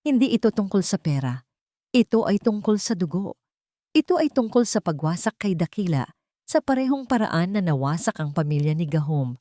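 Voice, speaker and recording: Low-voiced woman storyteller, dry close sound. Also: sing-song, normal speed, warm tone, no room sound. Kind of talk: scripted dramatic narration of a love story.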